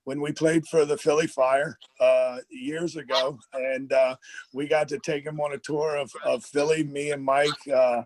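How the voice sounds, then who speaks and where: A man's voice: a little high, level tone, unhurried, dry recording, rough recording. A man speaking as an athlete guest in a casual interview, earnest and repeating himself for emphasis.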